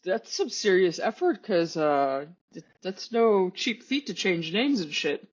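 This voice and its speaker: A woman's voice: lowish voice, big pitch swings, a little slow, husky. A woman with a German accent chatting casually as a podcast guest, blunt, irreverent and sweary, on a dull-sounding recording. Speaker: podcast guest, self-taught artist. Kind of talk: casual chat. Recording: dull, band-limited voice track.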